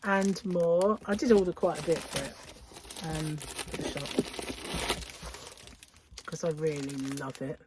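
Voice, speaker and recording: Over clearly audible background noise and crinkling wrappers, a woman chats in a slow, lingering way. She gushes admiringly in a slightly low voice, dropping into breathy asides like a craft vlogger showing off new things. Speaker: craft vlogger and yarn shop owner. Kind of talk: casual unboxing chat to followers. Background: crinkling cellophane, clicks and rustling.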